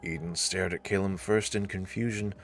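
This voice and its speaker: A man's voice: low voice, fairly even intonation, moderate pace, gravelly, soft background music. A man narrating a scripted story as its narrator.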